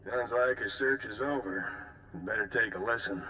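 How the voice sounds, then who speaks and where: A wry comms officer gives clipped reports in a video game. His voice is crisp and sharp, heard from a little way off the mic with a faint rumble. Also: normal speed, far from the mic. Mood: reporting. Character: male Republic comms officer, quick and wry.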